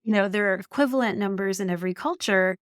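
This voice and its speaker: A middle-aged woman from Canada, a marketing executive telling stories in a podcast interview, animated and laughing often. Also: mid-range voice, fast, clean tone, no echo, clean studio sound.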